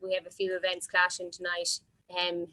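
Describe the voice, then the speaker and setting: A woman's voice: on the low side, monotone, normal speed, pushed and bright, low-quality recording. An Irish woman organiser answering questions informally, explaining things in a hesitant way.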